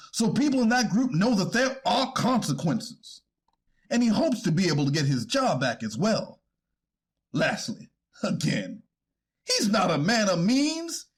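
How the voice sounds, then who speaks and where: Defense attorney played by a man, high-pitched and very animated, earnest, halting, deferential courtroom pleading. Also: slightly rough, exaggerated voice. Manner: deferential, formal, halting argument. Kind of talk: readers theater courtroom transcript reading.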